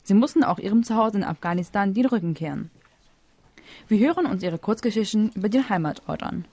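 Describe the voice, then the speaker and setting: A woman's voice: medium pitch, animated, a little fast, warm tone, slightly rough, dry recording. A woman hosting a studio program, introducing guests and interviewing with brief, friendly affirmations. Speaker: radio host. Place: radio studio.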